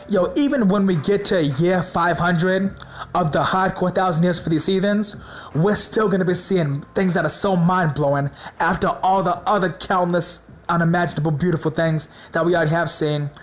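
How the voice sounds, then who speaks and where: A man preaching in a casual, slangy way, hoarse from a sore throat, excited and repeating words for emphasis. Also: sits high, normal speed. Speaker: religious video host.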